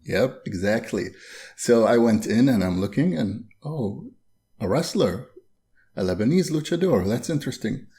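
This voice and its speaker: A man from Bahrain hosting a podcast, asking questions in a relaxed, friendly chat. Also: medium pitch, moderate pace. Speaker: podcast host. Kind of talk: casual interview chat.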